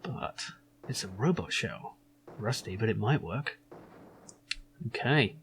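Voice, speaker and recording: A man's voice: fairly low pitch, expressive, slow, fairly bright tone, a little hiss or hum. A man hosting a casual game playthrough, chatting in an amused way and reading the hero's lines. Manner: chatty and amused, with frequent laughs and fillers. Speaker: gameplay video host. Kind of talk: casual let's-play commentary and reading lines.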